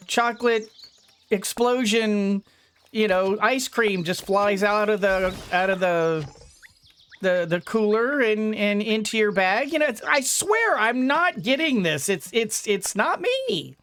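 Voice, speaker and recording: A woman gently thinking aloud as she plays a farming game for her channel, her throat a little gunky today. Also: medium pitch. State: slightly congested throat. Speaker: gaming YouTuber. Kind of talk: let's-play commentary.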